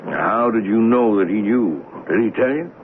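A man's voice: lowish voice, expressive, moderate pace, close to an everyday voice. An actor playing an earnest train conductor in a 1970s radio mystery, telling his story reflectively and questioning pointedly. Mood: pressing, probing. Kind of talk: 1970s radio drama acting, narration and dialogue. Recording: noisy, muffled phone-like 1970s radio broadcast.

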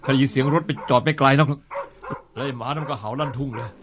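A man playing a rough middle-aged outlaw leader who gives orders in coarse, gruff rural speech. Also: mid-range voice, quick pace, background noise, natural voice. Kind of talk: radio-style drama acting.

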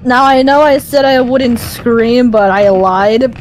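A woman chatting casually while playing a group horror game, excitable and jittery, full of exclamations. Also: on the high side, takes it slowly, slight background noise, low-quality recording. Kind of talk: casual gaming chat.

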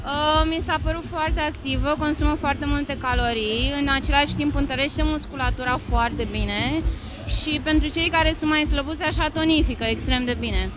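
An eager young woman speaks fluently into a handheld mic, her voice high. Music plays in the busy mall behind her. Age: young adult. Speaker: student, event participant. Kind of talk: casual answers. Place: indoor mall event. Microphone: handheld mic held by interviewer.

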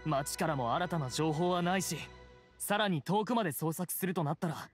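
A man's voice: fairly high pitch, normal speed, soft background music, lightly acted. A man playing a dutiful, troubled town guard in an anime game. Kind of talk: Japanese anime game voice acting.

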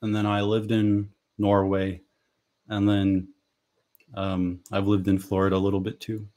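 A man's voice: on the low side, monotone, a little slow, mellow. A young man from Lithuania, a native Lithuanian speaker, chatting casually on a livestream from a small bedroom through a headset, hesitant with frequent ums. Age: young adult. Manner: hesitant, many ums and restarts. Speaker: author hosting a livestream. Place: small bedroom at home, indoors.

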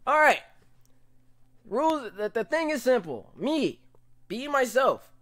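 Animated young American man, jokey reactor. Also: very high-pitched, takes it slowly, no echo. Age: young adult. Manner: jokey, animated, slangy, often laughing. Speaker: reaction video host. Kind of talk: casual reaction commentary. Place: small room, indoors. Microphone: desk condenser mic with pop filter.

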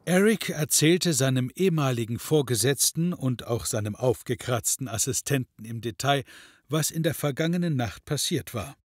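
A man's voice: mid-range voice, animated, normal speed, no room sound, studio quality. A man reading scripted narration as the narrator of an audio drama. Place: dry studio.

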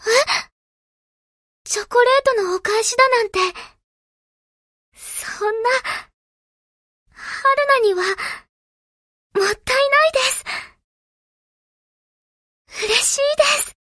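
A woman voicing a polite, humble young female warship girl in an anime game, soft, modest and formal. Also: very high voice, takes it slowly, long pauses, no room sound, clean studio sound, full character voice. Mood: bashful.

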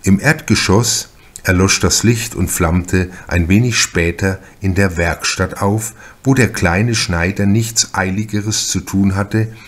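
A man reading a crime novel as an audiobook narrator, in a measured, deliberate manner with careful pauses. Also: low-pitched, fairly bright tone.

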